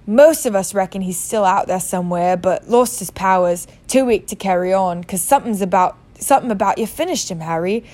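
A woman voicing a huge, warm-hearted bearded male giant with rough, rural speech. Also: medium pitch, brisk, few pauses, close to an everyday voice. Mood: confiding.

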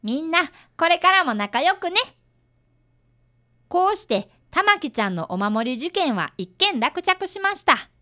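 A woman playing a bright, polite fifth-grade boy apprentice detective in a radio drama. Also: extremely high pitch, very animated, measured pace, heavily acted. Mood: earnest. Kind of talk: radio drama acting with first-person narration.

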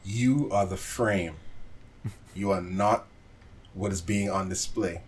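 Male podcast co-host, lower-pitched, playful banter, casual anime talk. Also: slow pace. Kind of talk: casual chat about anime.

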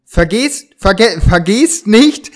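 A man playing a sly, mock-stern gentleman who interrogates intruders in an amateur audio drama, in a halting, drawn-out way. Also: high-pitched, animated. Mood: menacing.

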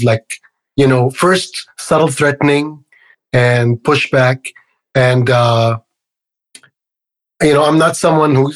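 Man, Egyptian accent, medium pitch, husky voice, interview guest, conversational, halting with repeated words. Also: low-quality recording. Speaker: interview guest, activist and organization co-founder.

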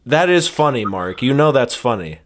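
A man with a mid-pitched voice hosts a comedy podcast. His talk is casual, comic banter. There is faint noise behind him. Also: big pitch swings, moderate pace. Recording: faint background noise, clicks.

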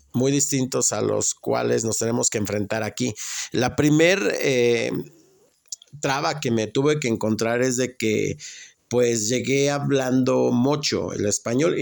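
Male, Mexican accent, gravelly fry in a mid-pitched voice, casual storyteller talking through personal experience. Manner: conversational, acts out remembered exchanges. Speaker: speaker sharing personal experience.

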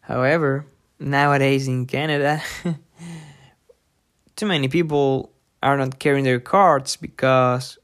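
A podcast host giving an informal lesson from a book, thinking aloud haltingly and repeating difficult words. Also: mid-range voice. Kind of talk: informal lesson read from a book with personal asides.

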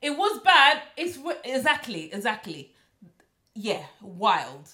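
A woman co-hosting a casual podcast chat in a loud, animated way, laughing often. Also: a little high, takes it slowly, reverberant. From London, UK. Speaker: podcast co-host.

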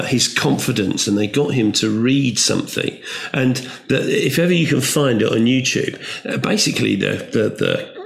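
A man's voice: mid-range voice, creaky. A British man co-hosting a podcast, chatty and blunt, rambling through a story. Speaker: podcast co-host.

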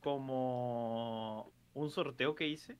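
A man in a casual group chat, speaking softly and hesitantly with many pauses. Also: medium pitch, clear, resonant tone. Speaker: small video creator.

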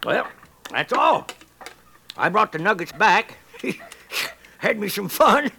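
An actor playing a toothless, stubbornly hopeful old prospector in a 1950s radio western, gravelly and rambling in a folksy American frontier drawl. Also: male voice, high voice, sing-song, slow pace, sharp tone, full character voice. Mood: boastful, insistent. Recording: noisy 1950s radio recording.

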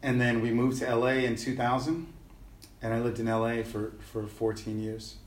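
A middle-aged man chats in a loose, rambling way, full of slang and fillers. His voice sits at an ordinary pitch for a man. The recording has a noticeable room echo. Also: little pitch movement, normal speed. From New York City. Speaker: podcast guest, musician. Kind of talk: casual conversational interview.

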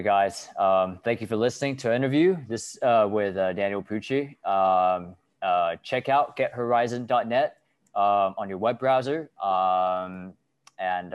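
A man hosting an interview podcast in casual chat, halting with many fillers and restarts. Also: lowish voice, no room sound. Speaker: podcast host.

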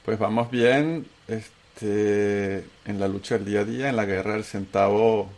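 A Colombian-born man with a Venezuelan accent, hosting a casual talk show and telling his story with animated emphasis. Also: fairly low pitch, a little slow. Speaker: host. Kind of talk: casual chat.

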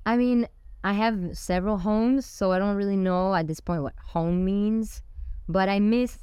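Woman guest on a podcast, smooth lowish voice, laid back, lots of restarts, no room sound. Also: measured pace, no echo, clean studio sound. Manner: relaxed and reflective, with frequent restarts. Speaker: guest musician. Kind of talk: casual interview.

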